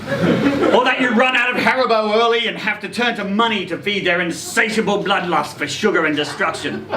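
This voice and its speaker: A man reading a short story aloud in a theatrical, expressive way at a live event. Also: high voice, normal speed. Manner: theatrical, expressive delivery with acted quotes. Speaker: reader at a live literary event. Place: indoor social venue, live event. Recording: roomy, distant event recording with noisy hum.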